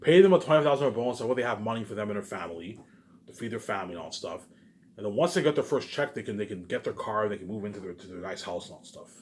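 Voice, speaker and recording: Fast-talking man in a rambling monologue. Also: fairly low pitch, animated, darkish tone, slight background noise. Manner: repetitive, often restarts phrases and repeats words. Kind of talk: rambling informal monologue about personal plans.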